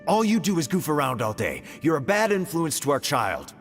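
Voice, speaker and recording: Furious scolding villager from a game, highish, swooping pitch, gravelly, echoing. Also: male voice, huge pitch swings, moderate pace, faint music behind, exaggerated voice. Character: scolding, angry villager driving out an oni. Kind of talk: video game voice acting.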